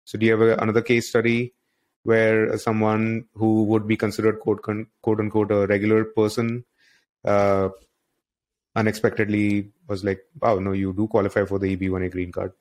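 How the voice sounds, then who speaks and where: A man's voice: lowish voice, monotone, normal speed, a little mellow. A man hosting an interview, asking long, roundabout questions in a conversational way and rephrasing as he goes. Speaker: interviewer, host.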